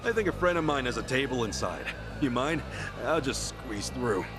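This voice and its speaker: Guy as loyal scrappy game hero, heartfelt, pitch jumps around, music under him. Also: animated, moderate pace, natural voice. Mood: easygoing. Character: earnest, brash, loyal male street-brawler hero. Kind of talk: dramatic video game cutscene acting.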